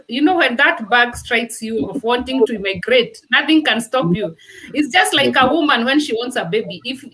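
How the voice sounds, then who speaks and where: A woman's voice: sits high, a bit bright, low-quality recording. A woman from Kenya, a nurse answering interview questions earnestly. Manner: earnest, talks with vivid everyday comparisons. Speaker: nurse and guest.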